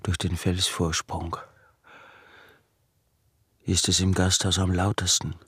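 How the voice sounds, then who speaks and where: Husky, slow, obsessive male recluse. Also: deep voice, flat delivery, sharp tone, no echo, clean studio sound, exaggerated voice. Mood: hushed, intent. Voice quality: husky, gravelly, breathy, often near whisper. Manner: halting, brooding, insistent repetition. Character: obsessive male recluse tormented by his acute hearing. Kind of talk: intimate radio drama monologue.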